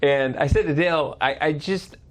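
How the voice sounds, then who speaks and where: A man's voice: a little high, normal speed. A man co-hosting a casual chat show, enthusiastic and stumbling over repeated words. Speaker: podcast co-host.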